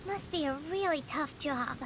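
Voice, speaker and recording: Little female fairy companion, extremely high-pitched, animated, curious, chatty, anime game, noisy ambience behind. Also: normal speed. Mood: thoughtful. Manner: refers to itself in the third person. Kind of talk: anime video game character acting.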